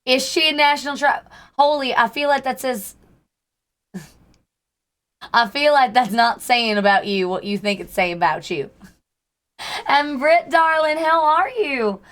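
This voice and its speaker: Streamer, female, hoarse, slightly higher voice, faking a Southern drawl, chatty with viewers. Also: measured pace, pauses often, a bit bright. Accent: put-on Southern US. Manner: chatty, greets and thanks chat by name. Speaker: livestreamer. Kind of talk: casual livestream chat with viewers.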